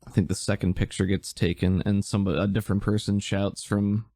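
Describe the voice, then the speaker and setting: A man's voice: lowish voice, little pitch movement, a little fast, mellow, no echo, clean studio sound. A man narrating as a laid-back, easygoing game master.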